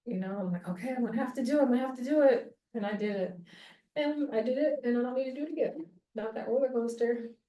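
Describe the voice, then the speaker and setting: A woman in her thirties chatting casually while doing her hair, meandering and self-deprecating, thinking out loud as she goes. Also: fairly high pitch, fast. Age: 30s. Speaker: small-business vlogger. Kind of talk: rambling casual chat. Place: home bathroom. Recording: echoey bathroom, voice far from mic.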